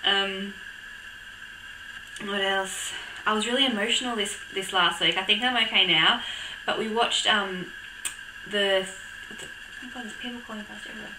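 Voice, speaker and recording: A young woman with a croaky voice from a cold, chatting casually to camera with lots of ums and little laughs. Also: medium pitch, fairly even intonation, normal speed, long pauses, roomy sound, noisy background. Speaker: vlogger. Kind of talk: casual chat.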